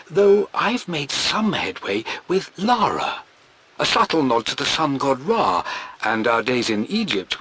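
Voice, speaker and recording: A man's voice: medium pitch, bright, forceful tone, a little hiss or hum, rough recording. An actor playing a stubborn, devoted aristocratic explorer with a British accent, narrating his diary formally and theatrically in a video game.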